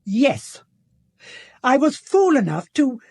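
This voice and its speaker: Older mother in a radio play, haughty and defiant, highish voice that swings about a lot. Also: very animated, slow, a little mellow, breathy edge, exaggerated voice. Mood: indignant. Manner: imperious, indignant, clipped. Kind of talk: British radio drama acting.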